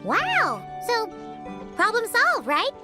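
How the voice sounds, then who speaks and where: A woman voicing a tiny, childlike, excitable fairy companion in a video game, chatty and full of exclamations. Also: very high voice, slow, heavily acted. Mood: impressed. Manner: exclaiming, chatty, easily sidetracked. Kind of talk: animated video game dialogue. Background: light music.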